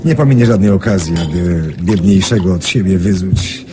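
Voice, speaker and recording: An actor playing a jovial, boastful self-made Polish emigrant in a radio drama, hearty and expansive. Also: male voice, sits low, moderate pace, over music, natural voice. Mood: boastful, reflective. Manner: hearty, chuckling, hesitant fillers, expansive.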